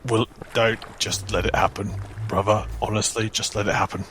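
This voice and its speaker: A man's voice: fairly low pitch, little pitch movement, moderate pace, a bit bright, soft background music, lightly acted. A man playing a blunt, easygoing adventurer companion in improvised tabletop roleplay. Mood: wry.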